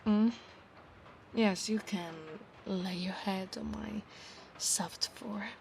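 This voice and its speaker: A woman with an Italian accent voicing an affectionate, possessive centaur knight in a soft ASMR roleplay, often slipping into breathy whispers. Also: mid-range voice, steady tone, takes it slowly, slight background noise. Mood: tender, sleepy. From Italy.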